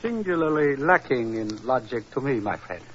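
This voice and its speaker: An actor playing a dignified older Chinese prince in a 1940s radio drama, speaking formally, deliberately and with philosophical courtesy. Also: male voice, medium pitch, lively intonation, a bit bright. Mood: courteous, wounded. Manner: formal, deliberate, hesitant pauses, moralizing. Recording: husky, muffled old radio recording.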